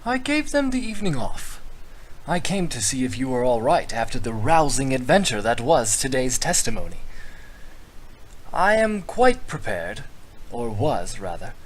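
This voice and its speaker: Man as urbane dragon prince, pitched up, formal, mannered, teasing, fantasy game style, slight noise. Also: big pitch swings, a little slow, poor audio. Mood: smug, teasing.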